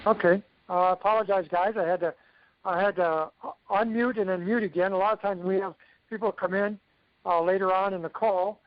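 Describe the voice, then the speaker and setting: Man, high voice, success coach, instructive team training talk, dull lo-fi conference call audio. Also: fairly even intonation, a little fast, no room sound. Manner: instructive, repeatedly checking in with okay.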